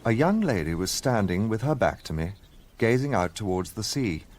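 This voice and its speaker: An actor playing a gentlemanly narrator who recounts his own adventure as scripted first-person radio drama narration. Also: on the low side, sing-song, normal speed, slight background noise. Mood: reflective, recounting.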